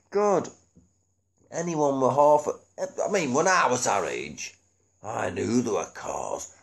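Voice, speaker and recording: A man's voice: mid-range voice, a little mellow. A middle-aged British man chatting casually and ramblingly about things, with colloquial, sweary speech and frequent chuckles. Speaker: amateur DVD reviewer. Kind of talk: casual rambling chat to camera.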